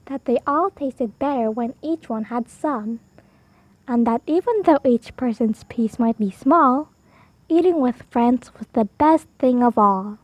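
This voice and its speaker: A woman reading a rhyming children's story aloud in an expressive, rhythmic storytime style. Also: fairly high pitch, unhurried, no echo.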